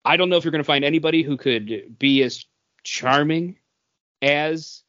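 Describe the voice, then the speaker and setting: A man's voice: fairly high pitch, normal speed, a bit bright, no echo, muffled recording. A man co-hosting a podcast in casual chat, rambling through his ideas. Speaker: podcast co-host.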